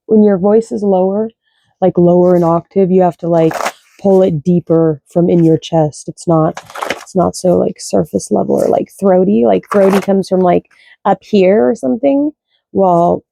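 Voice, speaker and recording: A woman with a low voice, chatting loosely and rambling as she reads cards, swearing and thinking aloud. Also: gravelly, mellow, poor audio. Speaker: card reader. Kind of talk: casual rambling spiritual chat.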